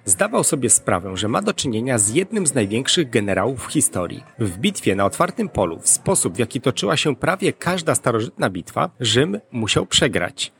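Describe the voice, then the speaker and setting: A man's voice: medium pitch, sing-song, brisk, vocal fry, few pauses, a bit bright. A man hosting an educational podcast, reading scripted narration.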